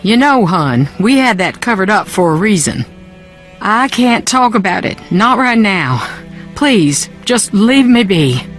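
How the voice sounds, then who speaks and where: A woman's voice: mid-range voice, sing-song, a little slow. An actress playing a guarded, weary woman in a video game, evasive and folksy in her way of talking. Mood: weary, pleading. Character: guarded, weary woman keeping family secrets. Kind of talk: video game dialogue acting. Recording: faint game music behind.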